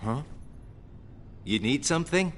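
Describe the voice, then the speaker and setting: Wry game character, lowish unhurried drawl, casual, bit of noise behind. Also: male voice, lively intonation, a bit bright. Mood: wry, frank. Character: laid-back, wry young man, loyal friend. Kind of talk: video game cutscene acting.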